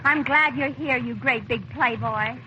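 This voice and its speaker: Actress as coy, faithless farce wife, high voice, theatrical 1930s radio comedy, noisy old broadcast. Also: female voice, unhurried, close to an everyday voice. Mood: flirtatious. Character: flirtatious, faithless wife juggling lovers in a farce.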